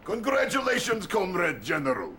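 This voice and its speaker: Boisterous, swooping Russian-accented Soviet premier. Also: male voice, high voice, huge pitch swings, moderate pace, pushed and bright, a little hiss or hum, full character voice. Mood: commanding. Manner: theatrical, grandiose, playful. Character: boisterous, scheming Soviet premier, jovial and menacing. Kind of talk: video game cutscene acting.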